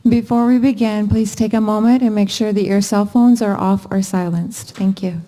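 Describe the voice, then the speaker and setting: Female announcer, formal delivery, mid-pitched and mellow. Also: steady tone, moderate pace. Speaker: service announcer.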